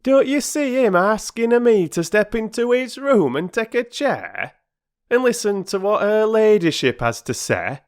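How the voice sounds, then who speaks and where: A man's voice: high voice, big pitch swings, measured pace, voice pushed up. A man voicing a huffy working-class railway porter who talks in a lofty, sulky way with clipped dialect speech. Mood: indignant.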